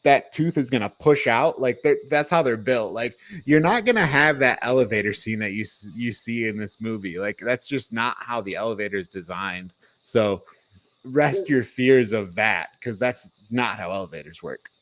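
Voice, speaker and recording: Man with mid-pitched voice, casually opinionated. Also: normal speed, a bit bright. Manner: informal, opinionated, questioning. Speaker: podcast co-host. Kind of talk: casual movie discussion.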